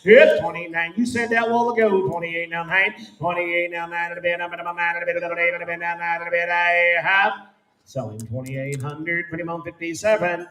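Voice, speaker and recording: A man's voice: high-pitched, fairly even intonation, a little fast, pushed and bright. A man with a Midwestern American accent working as an auctioneer, rolling through a rhythmic bid chant at a horse sale. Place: livestock sale barn. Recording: echoey barn from far off.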